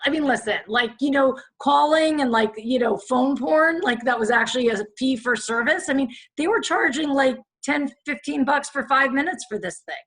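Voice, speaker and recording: A raspy-voiced woman in her fifties, a podcast guest chatting and rambling with lots of fillers. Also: high-pitched, normal speed, few pauses, fairly bright tone, low-quality recording. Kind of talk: casual chat.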